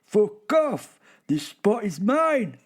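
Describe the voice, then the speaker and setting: Male actor as a cocky, trash-talking small bird for audio drama; high, shrill, shouty. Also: big pitch swings, drawn-out words, husky, full character voice. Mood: belligerent. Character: small aggressive territorial songbirds, trash-talking.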